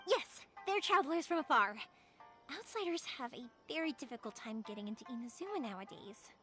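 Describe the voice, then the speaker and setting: An actress voicing a cheerful, energetic young fireworks maker in a video game, bubbly and reassuring. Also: female voice, sits high, brisk. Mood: friendly. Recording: music behind the voice.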